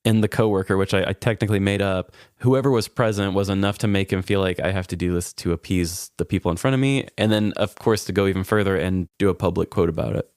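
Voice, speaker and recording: Male podcast co-host, low register, close dry sound, easygoing storytelling with a self-deprecating streak. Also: steady tone, fast talker, few pauses, darkish tone, clear, resonant tone, no room sound. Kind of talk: casual podcast chat, storytelling.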